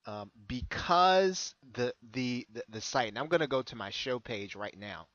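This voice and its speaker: An American man talks through an unscripted on-screen tutorial in a mid-pitched voice. He is hesitant, circling back and repeating phrases as he explains. The sound is dry and close, with a dull, lo-fi edge. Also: lively intonation, a little slow, a bit bright, dry recording. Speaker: online marketing consultant giving a tutorial.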